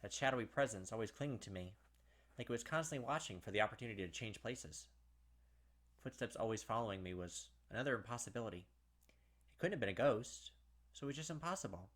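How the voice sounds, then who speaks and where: A man's voice: mid-range voice, lively intonation, a little fast, a bit bright. A man hosting a let's play, reading visual novel narration aloud and adding casual commentary. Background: game music.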